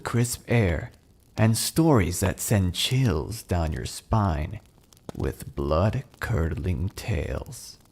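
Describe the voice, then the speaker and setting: A man reading scripted story narration in a deliberate, atmospheric, theatrical manner. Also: fairly low pitch, darkish tone.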